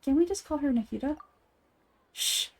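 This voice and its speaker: A woman's voice: high voice, moderate pace. A woman voicing a small, eager, bossy childlike companion girl in a video game. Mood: excited, bossy. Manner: refers to self in third person.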